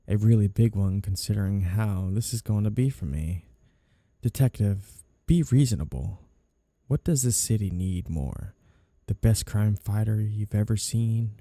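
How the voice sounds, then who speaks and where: A man playing a flirty, two-faced, smug mafia boss in a scripted roleplay audio drama, teasing and drawing out his phrases theatrically in a gravelly voice. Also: on the low side, mellow, no echo, heavily acted. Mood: coaxing.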